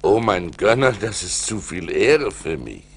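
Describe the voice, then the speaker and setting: An actor playing an adventurous seafarer who recounts his ordeals theatrically in a German radio play, moving between hushed and forceful delivery. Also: sits low, very animated, unhurried, slightly rough, exaggerated voice. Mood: impassioned. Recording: noisy vinyl record transfer.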